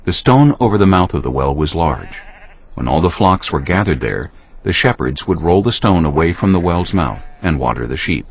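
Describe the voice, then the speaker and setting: A man's voice: low voice, expressive, moderate pace, slight background noise. A man narrating a dramatized audio Bible in a solemn storytelling style. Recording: slightly dull sound, music underneath. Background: music.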